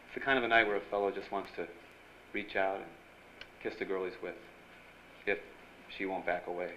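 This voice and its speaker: An actor playing an eager young reporter in a period Hollywood radio drama, speaking in casual wisecracking slang. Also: male voice, low voice, fairly even intonation, a little fast, a bit bright, slight background noise. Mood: romantic. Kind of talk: radio drama acting with narration. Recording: dull lo-fi live radio-play recording, music behind.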